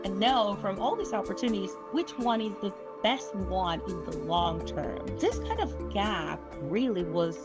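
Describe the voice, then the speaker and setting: A woman working in government energy planning, speaking slowly and earnestly in an interview testimonial. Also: mid-range voice, expressive, over loud music.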